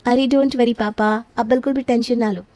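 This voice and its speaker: Woman as high-voiced scheming young bride. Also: fairly even intonation, moderate pace, mellow, dry recording, lightly acted. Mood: eager, confident. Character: scheming, self-satisfied young bride-to-be daughter.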